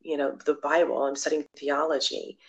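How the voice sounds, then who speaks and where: Low, gravelly-voiced woman missionary. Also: level tone, normal speed, rough recording. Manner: candid, rambling, many fillers and restarts. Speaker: missionary and blogger. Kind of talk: personal testimony in conversation.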